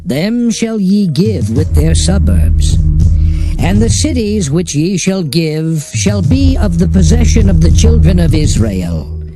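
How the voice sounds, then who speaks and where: A man's voice: on the high side, huge pitch swings, slow pace. A man narrating dramatized scripture in a solemn, declamatory manner. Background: music.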